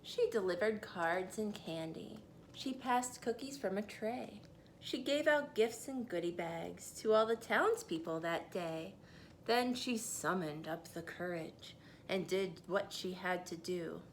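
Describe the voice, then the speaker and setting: Slow, gentle woman reading a picture book. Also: mid-range voice, lively intonation, a little hiss or hum. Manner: slow, gentle, rhyming storytelling cadence. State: tired. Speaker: mother reading aloud for children's classes. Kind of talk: picture-book read-aloud.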